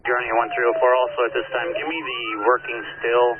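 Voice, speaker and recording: A man's voice: medium pitch, monotone, brisk. A man speaking as a firefighter in terse radio traffic over a two-way radio. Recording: very noisy, tinny, distant scanner radio.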